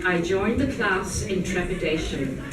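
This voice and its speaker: A woman reading a literary story aloud at a live event, in a measured, deliberate storytelling manner. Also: fairly low pitch, lively intonation, crowd noise. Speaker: reader at a public event. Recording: echoey room, distant mic, noisy background.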